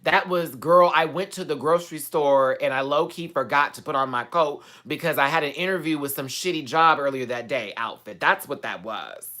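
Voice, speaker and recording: A man's voice: on the high side, normal speed, few pauses, sharp tone. A man from Texas hosting a live chat, giving sassy, profane, gossipy commentary on a reality TV show. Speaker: host.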